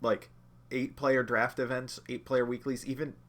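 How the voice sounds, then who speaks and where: A man with a slightly nasal voice from being under the weather, teaching a livestreamed lesson in a relaxed, chatty way, talking straight to his viewers. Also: mid-range voice, normal speed. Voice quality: a bit nasal. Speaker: judge training instructor.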